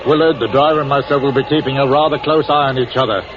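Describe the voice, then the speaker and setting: An actor playing a wary bus passenger in 1940s radio suspense style, formal, deliberate and dry. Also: male voice, medium pitch, fairly even intonation, natural voice. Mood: guarded, dry. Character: measured, dryly wary male stranded bus passenger. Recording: muffled, noisy vintage radio recording.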